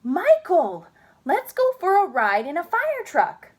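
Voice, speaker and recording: Plucky, bossy small girl for a picture book, performed by a woman, emphatic, high, very animated. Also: takes it slowly, exaggerated voice. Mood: eager, coaxing. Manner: bold, emphatic. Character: bold, bossy, fearless little girl.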